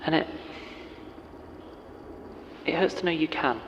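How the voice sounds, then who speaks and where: A man playing a tender, steadfast partner in an audio drama, speaking in an earnest, hesitant and gentle way. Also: fairly high pitch, takes it slowly, dull audio, noisy background. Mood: troubled, hurt. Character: tender, steadfast man pleading with his beloved.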